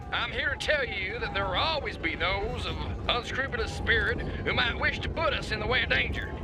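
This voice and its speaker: An actor playing a swaggering, pompous kingpin and demagogue in a satirical audio play. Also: male voice, very high-pitched, gravelly, noisy background, heavily acted. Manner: pompous, grandiose speechifying.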